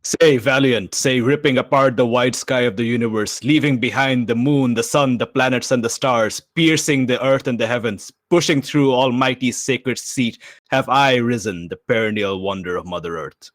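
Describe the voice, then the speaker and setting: A man's voice: mid-range voice, normal speed, no room sound, pitched above the performer's own voice, close to an everyday voice. A man voicing a possessed prophet who declaims solemn poetry in a grand, divine voice. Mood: exalted. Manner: declamatory, solemn poetic delivery.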